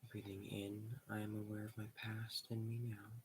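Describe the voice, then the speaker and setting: A man leading a guided meditation in slow, measured short phrases with pauses between them. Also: lowish voice, monotone, slight background noise, low-quality recording.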